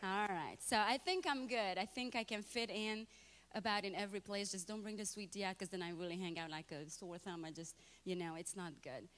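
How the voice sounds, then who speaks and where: A woman's voice: mid-range voice, steady tone, fairly quick, fairly bright tone. A woman in her thirties from Romania, speaking with a Romanian accent, shares her personal testimony at a church and often checks in with the audience. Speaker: guest speaker. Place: church sanctuary.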